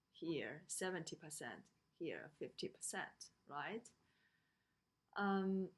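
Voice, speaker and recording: Female lecturer, Chinese accent, a little low in pitch, very unhurried and halting delivery, talking through slides. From China. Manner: halting, with frequent pauses and hesitations. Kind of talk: slide lecture on design usability.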